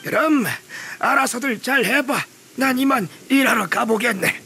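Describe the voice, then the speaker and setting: An actor playing a gruff but good-humored old village carpenter in a video game, with blunt, folksy, teasing speech. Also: male voice, very high-pitched, unhurried, bright, forceful tone, slight background noise, heavily acted. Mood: gruff, matter-of-fact. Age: older. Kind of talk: video game character acting.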